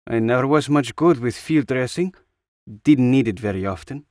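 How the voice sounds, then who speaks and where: A man voicing a brisk, teasing, exasperated older woman in a narrated story. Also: medium pitch, expressive, a little mellow, no echo, studio quality, pitched above the performer's own voice, natural voice. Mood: apologetic.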